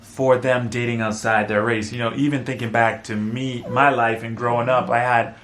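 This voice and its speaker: A man's voice: mid-range voice, moderate pace. Laid-back man from the Bahamas. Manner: relaxed, laughs easily, repeats words for emphasis. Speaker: co-host. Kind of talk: casual couple chat.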